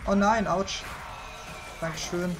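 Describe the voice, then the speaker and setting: Chatty German man gaming, higher voice. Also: steady tone, over music. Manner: chatty, laughs often, quick asides. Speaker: gaming channel host. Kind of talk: casual gameplay chat.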